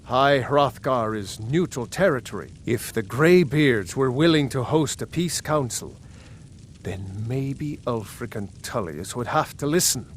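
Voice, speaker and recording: An actor playing a proud, wary lord of a city in a fantasy video game, speaking in a measured, earnest, commanding manner. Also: male voice, mid-range voice, expressive, a little hiss or hum, natural voice. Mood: considering, resolute. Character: proud, wary male Nord jarl guarding his city. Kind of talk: video game character dialogue.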